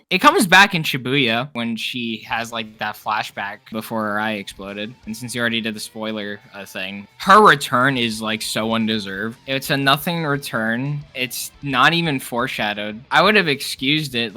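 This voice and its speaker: A man's voice: lowish voice, pushed and bright. A young man co-hosting a casual ranking chat, giving opinions in hesitant, rambling fragments. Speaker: co-host of a character ranking discussion.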